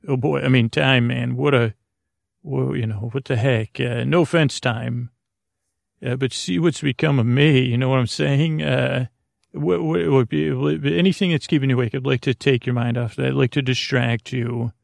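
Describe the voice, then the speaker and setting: Male sleep-podcast host: gravelly creak, dry close sound, soothing and rambling, halting delivery full of tangents. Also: medium pitch, fairly even intonation, no echo. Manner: meandering, halting, trails off into tangents.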